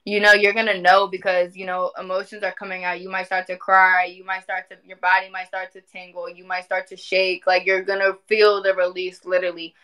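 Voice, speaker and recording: A woman in her twenties talking casually on a livestream, animated and emphatic, often repeating phrases for emphasis. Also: fairly low pitch, a little fast, hardly any pauses, smooth. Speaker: spiritual community speaker. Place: indoor room at home.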